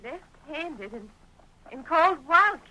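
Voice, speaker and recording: An actress playing an earnest young frontier daughter with an American accent in a 1930s radio western melodrama. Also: female voice, very animated, unhurried, pushed and bright, exaggerated voice. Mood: shaken. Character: anxious, spirited young frontier daughter in love. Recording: noisy, shrill 1930s radio recording.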